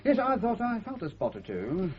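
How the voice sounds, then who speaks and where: Blustering working-class Northern English father, affronted and rambling, very animated pitch, dulled 1970s radio comedy sound. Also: normal speed. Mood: flustered rambling. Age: middle-aged. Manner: exclamatory, rambling, homely dialect delivery. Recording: muffled, slightly hissy 1970s radio recording.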